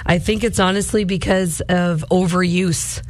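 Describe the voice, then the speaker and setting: A woman's voice: on the low side, fairly even intonation, unhurried, darkish tone, no echo. A woman hosts a morning radio show, rambling on in a casual, chatty way. Her voice is going, strained from overuse. The studio sound is close and dry. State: losing her voice. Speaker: radio host. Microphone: studio microphone.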